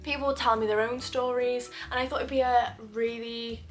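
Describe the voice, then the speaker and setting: A woman's voice: fairly high pitch, flat delivery, a little fast, faint music behind. A woman with a nasal voice from a cold, hosting a chatty video, reading posts aloud and laughing often. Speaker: host.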